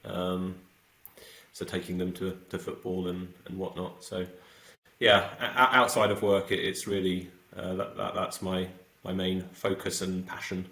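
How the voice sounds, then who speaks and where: Middle-aged man, low voice, hesitant casual interview guest, roomy echo. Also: a little slow. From south coast of England. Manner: relaxed, hesitant, many fillers and restarts.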